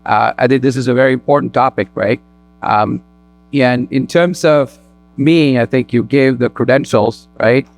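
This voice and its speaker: A man from Sri Lanka speaking as a guest at a mosque, earnest and in quick bursts. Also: mid-range voice, gravelly. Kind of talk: personal talk at a community event.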